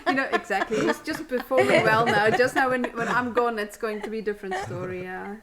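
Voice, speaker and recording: A woman's voice: fairly high pitch, brisk, a loud crowd. A woman hosting a casual interview in an encouraging, affirming way. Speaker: interview host.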